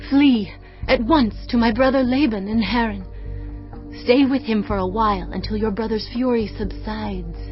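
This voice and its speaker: A woman's voice: a little high, moderate pace, close to an everyday voice. A woman playing an urgent, protective, world-weary mother of grown sons in a dramatized audio Bible. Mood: urgent warning. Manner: urgent, emphatic. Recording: dull sound, faint music.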